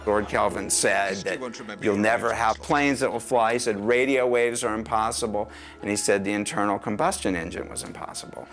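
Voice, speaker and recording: A man's voice: fairly low pitch, fairly even intonation, moderate pace, fairly bright tone, soft background music. A man from the filmmaking team explaining the background of a character in a plain, matter-of-fact way. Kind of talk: interview explanation.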